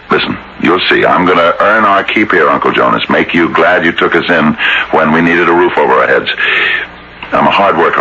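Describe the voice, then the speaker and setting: A hard-up, earnest nephew in a radio drama. His voice is extremely deep and gravelly, with a worn, anxious manner. The old broadcast is noisy and dull. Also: sharp tone, full character voice. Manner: hesitant, earnest, stammering. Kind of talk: 1970s radio drama acting and narration.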